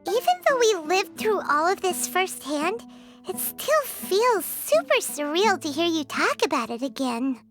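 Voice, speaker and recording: A woman voicing a tiny, bubbly, blunt fairy girl companion in a video game, with a squeaky childlike manner. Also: sing-song, measured pace, a bit bright, soft background music, exaggerated voice. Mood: awed. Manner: childlike, talks in third person, squeaky.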